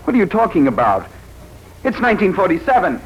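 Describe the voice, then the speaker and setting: An actor playing a bewildered, distressed, hungover reporter with amnesia in an American 1940s radio drama, questioning everything in disbelief. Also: male voice, high voice, wildly swooping pitch, quick pace. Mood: stunned, distressed. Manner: agitated, incredulous questioning. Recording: muffled 1940s radio recording with music.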